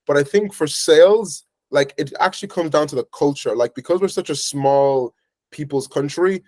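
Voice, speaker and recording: A man's voice: fairly high pitch, normal speed, a little mellow, no echo, studio quality. A young man in his early twenties from Ireland, with an Irish accent, talking casually as an interview guest in a rambling way, with lots of fillers. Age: early 20s. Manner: rambling, filler-heavy storytelling.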